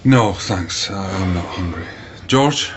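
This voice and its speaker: A man's voice: deep voice, animated, slow, lo-fi sound, noisy background. A man playing a concerned companion waiting for news in a naturalistic radio drama.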